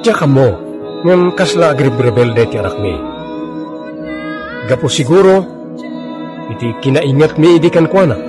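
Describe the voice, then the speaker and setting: A man's voice: fairly high pitch, expressive, moderate pace, pauses often, music in the background, close to an everyday voice. A man playing an earnest, troubled, strict middle-aged father in an emotional radio drama. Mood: regretful. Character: troubled, pleading strict father of a wayward daughter.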